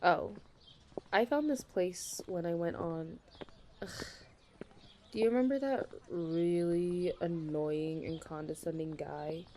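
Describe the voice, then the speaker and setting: A woman's voice: lowish voice, takes it slowly, mellow, a little hiss or hum. A young woman playing a chatty, dramatic best friend in a casual ASMR audio roleplay. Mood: chatty, exasperated. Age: young adult. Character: chatty, caffeine-loving female best friend, self-deprecating about dating.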